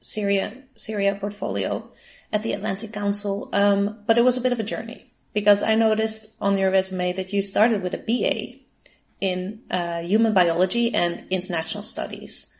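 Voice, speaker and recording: A woman hosts the interview in a friendly, easygoing way, often laughing as she asks questions. Her voice sits at a middling pitch. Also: normal speed, a little mellow. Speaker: interview host. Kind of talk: conversational interview.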